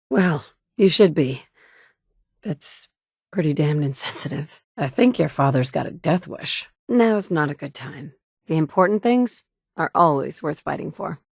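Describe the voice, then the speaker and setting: A woman's voice: low-pitched, vocal fry, a little mellow, no room sound. An actress in her thirties voicing a game's player heroine, a determined mother searching for her son, with dry wit and a blunt, curt edge. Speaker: player character. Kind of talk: game dialogue lines. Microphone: close studio mic.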